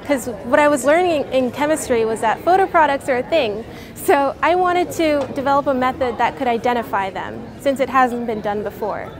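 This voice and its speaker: A woman's voice: sits high, normal speed. A teenage girl from New Orleans, a science fair student, talking eagerly and chattily in an interview with many fillers. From New Orleans, Louisiana. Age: teens. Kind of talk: casual interview answers. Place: busy convention hall. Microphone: lapel mic. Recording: crowd chatter behind.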